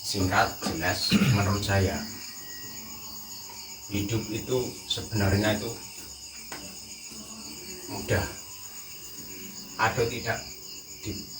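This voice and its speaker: A man giving a religious reflection in measured, short phrases as a discussion guest. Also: lowish voice, fairly even intonation. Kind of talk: religious reflection in informal talk. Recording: distant, echoey, noisy recording with insects.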